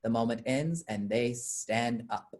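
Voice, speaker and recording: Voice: medium pitch, a little slow, a little mellow. A narrator reading out scripted stage directions for a staged play reading.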